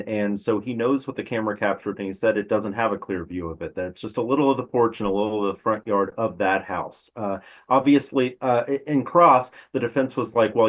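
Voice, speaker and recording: A male crime reporter with a fairly low voice gives conversational analysis as a guest. He explains details step by step, in a halting way with pauses as he picks his phrasing.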